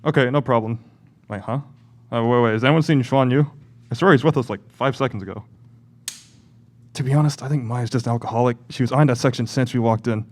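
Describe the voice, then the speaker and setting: A man's voice: mid-range voice, fast talker, long pauses, lightly acted. A young man acting an offhand grocery worker and student in an amateur radio play. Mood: casual. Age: young adult.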